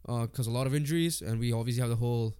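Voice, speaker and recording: A man's voice: medium pitch, rapid-fire, dark tone, clean tone. A man co-hosting a sports podcast with a congested voice, chatting informally and swearing casually. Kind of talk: casual sports chat, reading lists and predictions.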